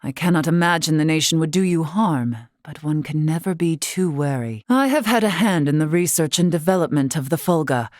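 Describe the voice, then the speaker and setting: A solemn warrior noblewoman from a fantasy game, played by an actress, pitched low and recorded very dry. She speaks formally and with measure, in courtly, old-fashioned phrasing, dutiful and composed. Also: a little mellow, dry recording, close to an everyday voice. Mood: solemn, measured. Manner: formal, measured, archaic diction. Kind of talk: scripted video game character dialogue.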